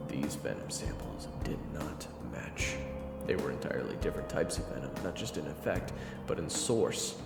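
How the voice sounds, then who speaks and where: A man playing a solemn storyteller, giving measured, scripted second-person narration for a fantasy audio drama. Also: very deep voice, lively intonation, vocal fry, reverberant, long reverb tail, loud music behind the voice, heavily acted. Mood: solemn, intent. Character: solemn storyteller recounting a dark fantasy past.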